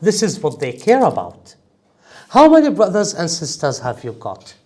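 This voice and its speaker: A man teaching an English speaking-test class, emphatic and animated, joking with his students. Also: a little high, moderate pace. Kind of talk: classroom lecture.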